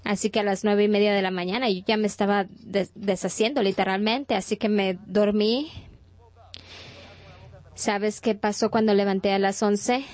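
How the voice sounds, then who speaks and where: A woman's voice: medium pitch, fairly even intonation, moderate pace, darkish tone. A woman delivering a sermon in Spanish translation, talking in a conversational storytelling way with frequent restarts.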